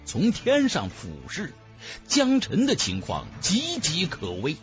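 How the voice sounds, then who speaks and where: A man's voice: high voice, wildly swooping pitch, takes it slowly, faint music behind. A man narrating a fantasy novel in a theatrical, emphatic style, as a scripted audiobook.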